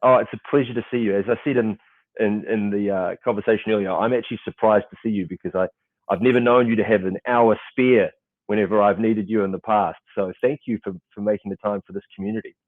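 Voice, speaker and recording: A man's voice: on the low side, steady tone, fast talker, no room sound, narrow, tinny audio. A New Zealand man in his forties, moderating an online panel in a loose, chatty way with lots of "uh" fillers. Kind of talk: casual online panel hosting. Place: client's office in Seattle, crew setting up.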